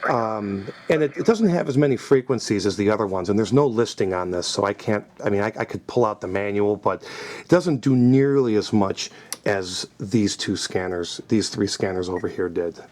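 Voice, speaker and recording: A man's voice: fairly low pitch, expressive, moderate pace, darkish tone. A middle-aged man giving an informal, conversational product demonstration in an explanatory way. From United States. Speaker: scanner hobbyist demonstrating his collection. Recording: noticeable background noise.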